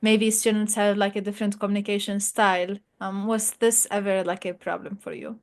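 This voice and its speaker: A woman's voice: mid-range voice, level tone, brisk. A woman interviewing a fellow graduate student, chatty and full of "like" fillers. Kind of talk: casual interview.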